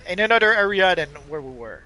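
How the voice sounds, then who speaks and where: Hesitant high-voiced man. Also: sing-song, fast talker, pushed and bright. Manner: hesitant, many "uh" fillers and repeated words. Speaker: stream co-host. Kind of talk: casual livestream chat.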